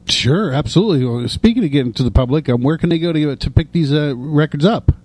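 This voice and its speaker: A man's voice: fairly high pitch, lively intonation, fast talker, darkish tone. A man hosting a casual interview, asking questions in an informal, easygoing way. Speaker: interview host.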